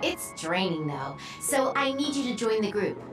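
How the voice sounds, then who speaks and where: A woman's voice: mid-range voice, sing-song, normal speed, close to an everyday voice. A woman playing a friendly, caring young military operator in an anime game, informal and reassuring. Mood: instructing. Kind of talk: English anime-game voice acting. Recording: music behind the voice.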